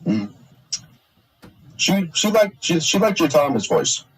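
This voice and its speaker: A young man chatting casually on a stream, halting with many restarts and fillers. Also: on the high side, big pitch swings, breathy edge, distant mic. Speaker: streamer and hobby voice actor. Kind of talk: casual chat on a live stream.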